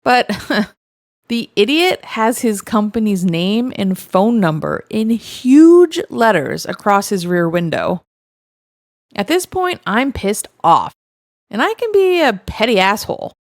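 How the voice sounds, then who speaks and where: A woman's voice: medium pitch, very animated, slow, no room sound. Wry woman narrator, dry close sound. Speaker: narrator reading online stories. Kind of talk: scripted first-person story narration.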